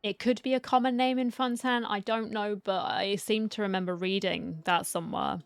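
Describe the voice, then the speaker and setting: A woman's voice: mid-range voice, steady tone, moderate pace, clear, resonant tone, no room sound. A woman giving casual reaction commentary as a game streamer, informal and given to brief interjections. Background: game music.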